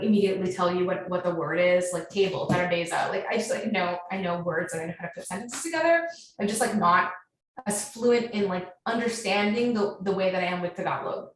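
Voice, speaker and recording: A woman with an American accent answering questions as a guest author in a casual, animated, chatty way that leans on "like". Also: fairly low pitch. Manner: animated storytelling with frequent "like". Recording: very echoey, distant, faint noise.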